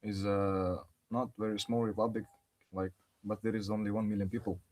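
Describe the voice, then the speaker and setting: Young male student from Yakutia; lowish voice, relaxed and rambling, many fillers, video call. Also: moderate pace. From Yakutia, Russia. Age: young adult. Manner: relaxed, filler-heavy with frequent "like". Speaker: undergraduate student. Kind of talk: casual self-introduction.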